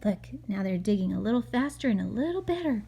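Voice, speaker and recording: A woman's voice: mid-range voice, very animated, quick pace, creaky, dark tone, slight background noise. A woman reading a picture book aloud to a child as a mother, expressively, with chatty asides and questions.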